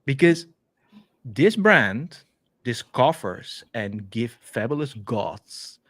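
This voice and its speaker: A Dutch-accented man co-hosting a live tech stream, talking through his demo in a loose, chatty way with lots of "um"s and restarts. His pitch rises and falls a great deal as he explains things. The sound is dry and close, as if heard on a video call. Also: takes it slowly, no echo. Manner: chatty, animated, many fillers and restarts. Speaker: developer advocate co-hosting a stream. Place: home office, video call.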